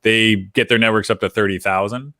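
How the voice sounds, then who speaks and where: A man's voice: on the low side, fairly even intonation, brisk, bright, forceful tone, dry recording. An American man in his thirties chatting casually as a podcast host, telling things in an informal, anecdotal way into a close microphone. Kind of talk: casual chat. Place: indoor room with brick wall. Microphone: close desk microphone on a video call.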